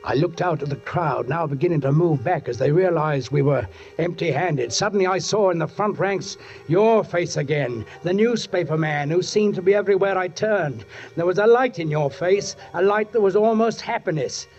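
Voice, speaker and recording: An actor playing a brooding, menacing British police sergeant in a 1940s radio suspense drama, speaking in deliberate, literary phrases. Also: male voice, on the high side, darkish tone, faint music behind, natural voice. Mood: grim, haunted. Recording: muffled 1940s radio recording.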